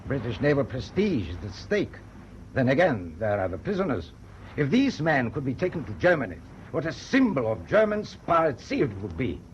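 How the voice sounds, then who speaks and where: An actor in a British radio drama playing a determined German naval captain, formal, measured and authoritative. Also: male voice, medium pitch, sing-song, natural voice. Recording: dull, faintly noisy old radio recording.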